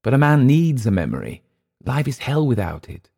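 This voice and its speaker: A bitter Englishman gone to seed , acted out by a male audiobook reader. His voice is lifted well above a low narrating register, with a volatile, mocking edge. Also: very animated, normal speed, gravelly, warm tone. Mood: earnest, defiant.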